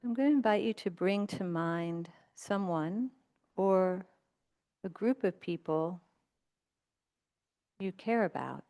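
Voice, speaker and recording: A woman leading a guided meditation as a teacher, speaking in gentle, unhurried instructions with long pauses. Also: fairly low pitch, lively intonation.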